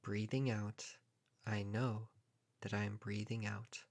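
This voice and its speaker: Low-pitched man guiding a calm meditation. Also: creaky. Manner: calm, measured, with long pauses between short phrases. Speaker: meditation guide. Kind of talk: guided meditation narration.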